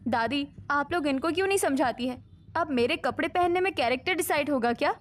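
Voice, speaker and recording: A woman plays a young modern daughter-in-law in an animated family story. Her voice is high and theatrical, full of sass and indignant defiance, with music behind it. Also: a little fast. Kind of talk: scripted Hindi animated story dialogue.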